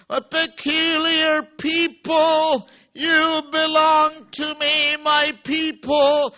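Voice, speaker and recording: A man delivering prophecy in a very high, flat voice, pausing often between phrases with fillers. He speaks very slowly, and the recording sounds dull and low-fidelity. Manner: slow, halting, with many uh fillers. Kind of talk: prophecy in a church meeting.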